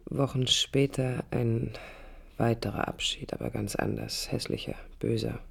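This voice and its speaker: An actress playing a disillusioned young divorced woman, recounting her past in a hushed, reflective, confiding radio play monologue. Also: a bass voice, fairly even intonation, unhurried, exaggerated voice. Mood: wistful, hushed. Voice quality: husky, breathy. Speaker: narrator and protagonist. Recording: music under studio radio drama. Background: music.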